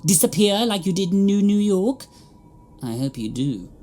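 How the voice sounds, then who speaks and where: A woman's voice: medium pitch, very animated, normal speed, warm tone, soft background music, close to an everyday voice. A woman playing a stern, hostile cat nun in an audio drama, haughty and commanding. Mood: imperious, threatening.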